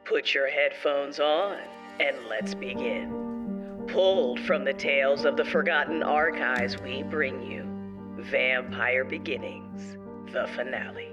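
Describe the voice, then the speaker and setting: An actor playing a vampire radio DJ, announcing a show like a late-night host. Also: male voice, mid-range voice, takes it slowly, muffled recording, natural voice. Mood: inviting. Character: smooth vampire radio DJ hosting a hits show. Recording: prominent music under voice.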